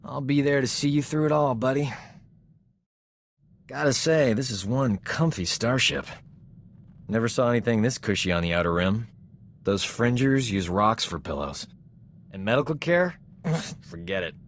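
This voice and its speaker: An actor playing a cocky, flirtatious combat medic in a science fiction video game, with a breezy, boastful, wisecracking delivery. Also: male voice, medium pitch, expressive, normal speed, long pauses, lightly acted. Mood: teasing, amused. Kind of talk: video game voice acting, character dialogue.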